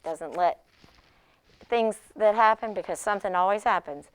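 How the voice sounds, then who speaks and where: A woman who teaches pre-K, demonstrating an interactive read-aloud in an expressive manner, as if speaking to young children. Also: mid-range voice, moderate pace, smooth.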